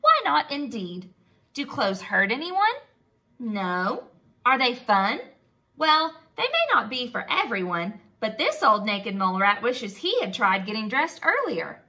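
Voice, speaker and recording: A wise old grandfather mole rat, put on by a woman reading a storybook, proclaims with solemn, regal importance. The voice is bright and raised, with a slow, stately pace. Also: a little high, big pitch swings, full character voice. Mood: solemn, reflective. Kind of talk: storybook read-aloud for children.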